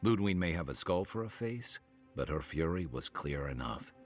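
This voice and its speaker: Very deep-voiced, steady adventurer. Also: male voice, soft background music. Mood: measured concern. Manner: measured, weighing risks calmly. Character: steady, level-headed male adventuring companion. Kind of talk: dramatic video game acting.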